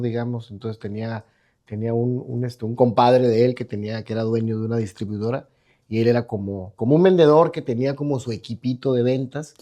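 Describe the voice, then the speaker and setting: Middle-aged Mexican man, Mexican Spanish accent, mellow tone; podcast guest telling his story, loose and rambling with restarts. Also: medium pitch. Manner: rambling with many restarts and tag questions. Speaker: guest entrepreneur. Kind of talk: casual interview talk. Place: his own podcast studio.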